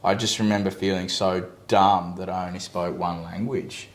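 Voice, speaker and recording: A young Australian man with a slightly low voice, chatting in a casual podcast. He speaks in a measured, reflective way, often pausing on "um" as he weighs his points. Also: flat delivery. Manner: measured, thoughtful, many "um"s. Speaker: guest.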